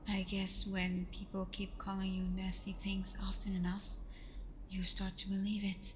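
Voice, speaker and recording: A woman acts out a vampire girlfriend in an intimate ASMR roleplay, tender and reassuring with a playful, vulnerable side. Her voice is lowish and gently even, often falling to a breathy whisper. She takes her time, over audible background noise. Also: lightly acted. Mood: wistful, candid. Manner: soft, breathy, often dropping into whispers, hesitant pauses.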